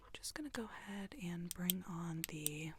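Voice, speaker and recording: A woman speaks in a soft, breathy whisper, soothing and reassuring, in an unhurried ASMR roleplay as a sleep clinic technician. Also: lowish voice, heavy background noise.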